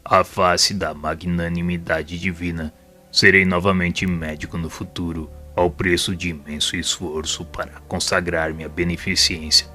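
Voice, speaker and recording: An actor playing a solemn, repentant spirit mentor in an audio drama, speaking in a grave, formal and measured way. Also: male voice, low-pitched, sharp tone. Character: solemn, repentant male spirit assistant and mentor, a former doctor. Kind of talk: audio drama dialogue, formal literary monologue.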